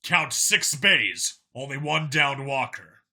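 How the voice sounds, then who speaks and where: A blunt human soldier in battle, acted by a male narrator. He talks in clipped military bursts with a forceful, bright edge, as in an audiobook. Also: mid-range voice, slow pace, heavily acted. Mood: terse, urgent.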